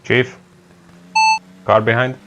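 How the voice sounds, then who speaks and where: A man with a Hungarian accent who hosts a casual racing game demo and calls out loud, clipped commands to a voice app. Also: mid-range voice, flat delivery, slow, muffled recording, heavy background noise. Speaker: sim racing video host.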